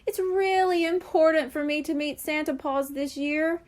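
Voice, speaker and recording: A woman's voice: high voice, normal speed, darkish tone, pitched above the performer's own voice, natural voice. A woman voicing an eager, impatient young girl kitten in a children's storybook. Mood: pleading. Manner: pleading, impatient.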